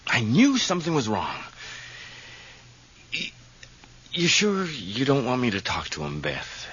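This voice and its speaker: Animated, excitable concerned friend. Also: male voice, mid-range voice, measured pace, husky, exaggerated voice. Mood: worried, soothing. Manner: animated, exclamatory, insistent. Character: concerned, excitable male friend of a troubled man. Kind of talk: vintage radio drama acting. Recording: muffled, noisy vintage radio recording.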